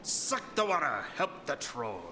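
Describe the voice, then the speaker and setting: Man acting a grumpy troll, radio drama, high for a male voice, very animated, curse-chanting. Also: a little slow, a little hiss or hum, heavily acted. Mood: commanding, cursing.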